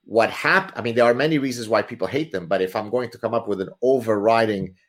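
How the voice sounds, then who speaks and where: A man whose first language is Arabic, a guest author explaining his ideas in a lively, theatrical, lecturing way. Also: on the low side, fast talker. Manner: lecturing, theatrical, uses vivid examples. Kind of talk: animated explanatory talk.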